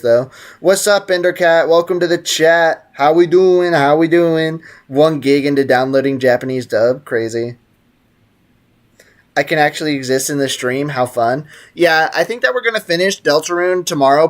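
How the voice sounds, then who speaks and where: A man live streaming a video game, chatting casually and offhandedly with viewers and joking in slang. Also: fairly high pitch. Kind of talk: casual live stream chat and gameplay commentary, reading out chat. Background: game music.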